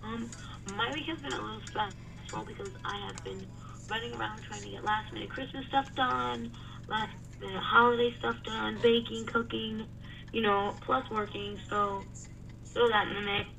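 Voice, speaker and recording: A woman's voice: a little high, steady tone, moderate pace, vocal fry, bright, forceful tone, slight background noise. Chatty woman, distant from the mic. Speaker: co-host. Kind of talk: casual podcast chat. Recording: distant from the mic, music behind.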